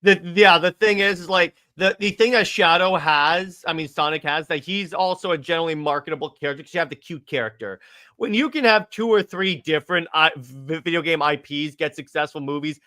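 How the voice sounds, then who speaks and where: High, bright-voiced man chatting about films. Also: fairly quick. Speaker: co-host reading viewer comments. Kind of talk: casual chat.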